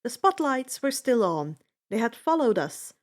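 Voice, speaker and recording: A woman reading fan fiction aloud as an expressive first-person narrator, with a deliberate, dramatic delivery. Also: a little high.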